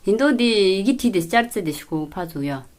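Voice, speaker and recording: A woman's voice: mid-range voice, expressive, moderate pace. Casual woman on a prank call. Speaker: prank caller. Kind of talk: informal prank phone call with sibling.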